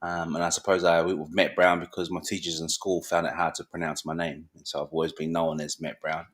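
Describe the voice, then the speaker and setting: A man's voice: low-pitched, little pitch movement, a little fast, fairly bright tone. A man, a barber talking casually and humbly as a podcast guest, with frequent ums. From New Zealand. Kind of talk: casual interview chat.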